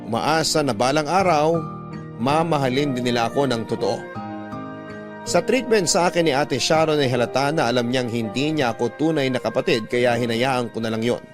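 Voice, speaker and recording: A man reading a first-person letter as a radio storyteller, with sentimental, measured phrasing. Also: mid-range voice. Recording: music under the voice.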